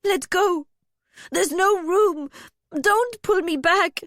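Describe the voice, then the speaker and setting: A woman's voice: very high-pitched, steady tone, slow pace, a bit bright, dry recording, clean studio sound, pitched above the performer's own voice, full character voice. A woman voicing a frantic young woman in an audiobook, pleading breathlessly in panic and defensiveness. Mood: panicked pleading. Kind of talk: audiobook character voice.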